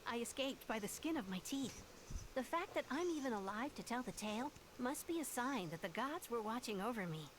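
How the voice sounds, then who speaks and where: A woman playing an earnest young female refugee in a video game, telling things in a measured, sincere way. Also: a little high, animated, a little hiss or hum, close to an everyday voice, poor audio. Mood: solemn recounting. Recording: game music behind the voice.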